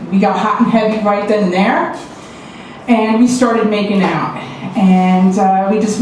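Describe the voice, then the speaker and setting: A woman's voice: mid-range voice, darkish tone. A woman performing a stand-up comedy set with a brash, punchy delivery and crude punchlines. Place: small comedy club stage. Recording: distant echoey club recording with background noise.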